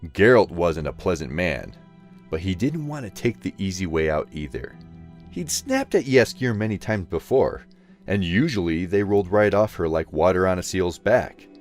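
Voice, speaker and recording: A man's voice: deep voice, sing-song, normal speed, soft background music. A man narrating a scripted story as the narrator of an audio drama.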